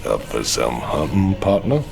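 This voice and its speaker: An actor playing a brash, roguish outlaw tough guy in a video game, gravelly, with a Southern American accent. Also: extremely low pitch, very animated, unhurried, over music, heavily acted. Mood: casual, roguish. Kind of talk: cinematic video game acting.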